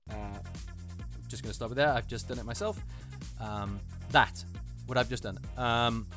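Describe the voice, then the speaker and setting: A man hosts with loose, unscripted commentary over clearly audible music. His voice sits at a middling pitch, and he speaks haltingly, circling back to repeat points for emphasis. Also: a bit bright. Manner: hesitant, repeats himself for emphasis. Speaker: host, voice actor reviewing auditions.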